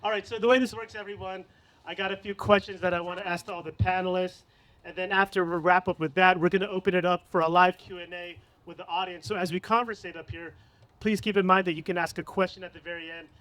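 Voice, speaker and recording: A man's voice: high-pitched, fairly even intonation, fast talker, fairly bright tone, poor audio. A man hosting a live podcast panel at a cafe event, upbeat and hyping up his guests. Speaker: podcast host.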